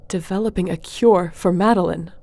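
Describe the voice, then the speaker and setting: An earnest, defiant sorceress, voiced by a woman, in a fantasy audio play. Her voice sits fairly low and carries a firm intensity, as one who has been wronged. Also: normal speed, dark tone. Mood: pleading. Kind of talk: fantasy audio drama acting.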